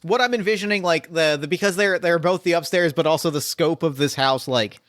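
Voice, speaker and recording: A man runs a solo tabletop mystery as game master, thinking aloud in loose, animated bursts with plenty of fillers. His voice sits on the higher side for a man. The sound is dry and close, with almost no room around it. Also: brisk, no echo. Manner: rambling, animated, lots of fillers and restarts.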